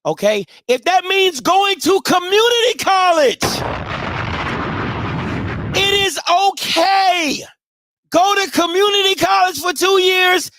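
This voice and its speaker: A man in his thirties from Maryland hosting a live show, giving blunt, emphatic advice to listeners and sometimes shouting. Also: very high-pitched, lively intonation, slow pace, long pauses, slight background noise. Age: 30s. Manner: emphatic, blunt, swings between soft and shouting. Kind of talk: casual advice talk to listeners.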